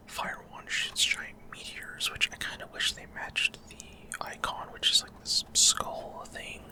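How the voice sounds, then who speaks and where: Whispered, hesitant ASMR gameplay commentary. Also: bright, forceful tone. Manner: hesitant, unscripted explaining. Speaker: video game streamer.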